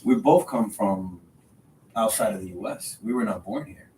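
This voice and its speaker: A man's voice: lowish voice, moderate pace, warm tone. A man, a Spanish speaker, answers casually as a podcast guest. He repeats words as he goes. The sound is very roomy and a bit far from the mic, with some faint noise. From Dominican Republic. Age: 30s. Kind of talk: casual interview conversation.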